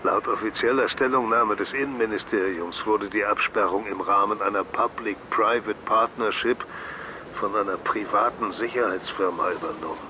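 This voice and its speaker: A man's voice: on the low side, moderate pace, noisy background, natural voice. A man playing a weary police superior in a radio drama, speaking in a dry, sardonic way. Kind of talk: German radio drama acting.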